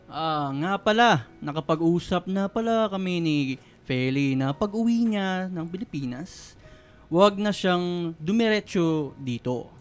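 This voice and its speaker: Casting: rich, harsh older father-in-law, scornful, clear resonant tone, Filipino radio drama with music bed. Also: high voice, expressive, unhurried. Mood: cold, curt.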